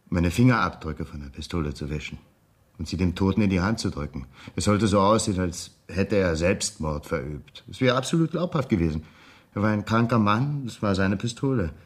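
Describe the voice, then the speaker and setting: A man acting in a radio drama as a cold ex-convict blackmailer, speaking in a measured, threatening and insistent way. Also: sits low, breathy edge.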